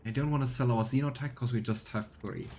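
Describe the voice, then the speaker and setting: A man's voice: mid-range voice, brisk, a little mellow, slight background noise. A man giving casual let's play commentary as he streams a video game. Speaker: streamer playing a video game and voicing its text.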